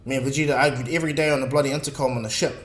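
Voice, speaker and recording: A man's voice: medium pitch, fairly even intonation, racing, natural voice. A man voicing an exasperated, sassy young anime woman who complains indignantly. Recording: echoey room, music behind.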